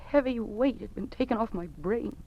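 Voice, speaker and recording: A woman's voice: fairly high pitch, moderate pace. An actress playing an earnest, principled woman schoolteacher, emphatic and dignified, in 1950s radio drama style. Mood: bitter resolve. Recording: muffled lo-fi old radio broadcast.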